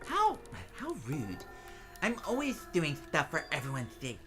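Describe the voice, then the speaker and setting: A sly teenage boy, played by a woman, with a low voice that swings around theatrically. He wheedles like a practised liar over clearly audible music. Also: wildly swooping pitch, unhurried, a bit bright, full character voice. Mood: indignant. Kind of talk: anime game fandub acting. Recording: music playing behind.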